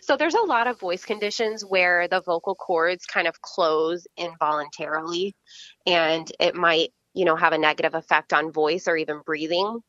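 A woman speech-language pathologist calmly explaining voice care in a casual interview, with frequent fillers. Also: on the low side, normal speed, no echo, dull audio. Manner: explanatory, steady, many fillers.

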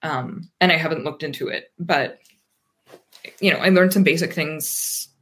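A woman's voice: low-pitched, measured pace, a bit bright. A woman in her thirties from Pennsylvania, a guest in a casual interview, talking thoughtfully with many ums and restarts. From Pennsylvania, USA.

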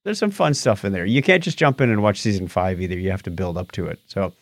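A man's voice: deep voice, fast talker, dry recording. A middle-aged man co-hosting a long-running tech podcast in a casual, jokey chat. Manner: animated, jokey, reads out patron names. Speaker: podcast co-host.

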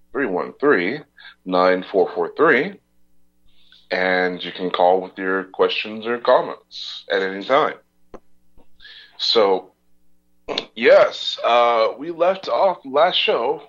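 A man hosting a podcast, speaking haltingly with many uhs. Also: on the low side, fairly bright tone. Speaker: podcast host. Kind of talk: casual podcast announcements. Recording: dull lo-fi audio.

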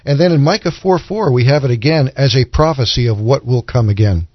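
A man's voice: medium pitch, a little fast, no echo, dull audio. A man in a conversational Bible discussion, explaining points earnestly and sometimes answering his own questions. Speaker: religious podcast panelist explaining Bible prophecy.

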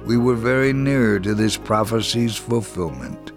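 A man reading a first-person religious testimony as a scripted dramatic narration, in a measured, earnest way. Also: on the low side, music in the background.

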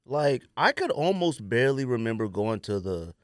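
A man's voice: medium pitch, very animated, moderate pace, dry recording. An American man hosting a podcast, chatting casually and jokingly in slangy speech about sports and sneakers. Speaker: podcast host.

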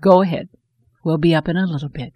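A woman playing a gentle, sensible mother of a young boy in an audio drama. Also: deep voice, fairly even intonation, fast. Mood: sensible, skeptical.

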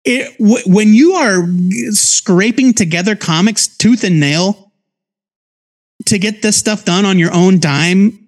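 A man's voice: high-pitched, slow. An American man in his forties giving casual, conversational commentary as a podcast co-host. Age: 40s. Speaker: podcast co-host reviewing comics.

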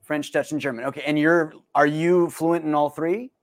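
An American man hosting a podcast, upbeat and joking with his guest before reading a brisk outro. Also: on the high side, fairly even intonation. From United States. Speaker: podcast host. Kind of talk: casual podcast chat and read outro.

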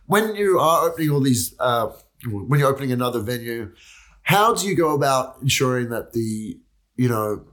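Man, podcast host, relaxed, mid-pitched voice, conversational questions. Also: moderate pace. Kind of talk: casual interview chat.

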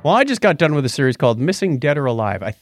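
Lively, higher-pitched male podcast host. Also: very fast, over loud music. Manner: jokey, informal asides. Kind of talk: casual podcast chat.

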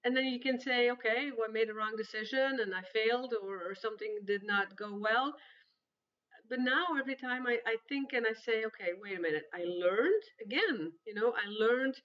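A woman's voice: a little high, moderate pace. Woman guest, lo-fi sound. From Belgium. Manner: chatty, reflective storytelling. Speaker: podcast guest, author. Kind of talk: casual interview chat.

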